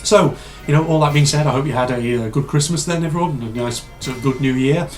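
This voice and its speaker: A man hosting a casual reaction video, rambling and chatty with lots of fillers, his voice slightly hoarse and congested from a cold. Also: medium pitch, quick pace, darkish tone, soft background music.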